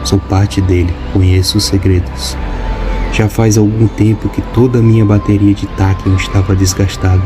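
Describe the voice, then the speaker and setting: A man voicing a dying robot father who speaks in a calm, measured way. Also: lowish voice, lightly acted. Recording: music playing behind.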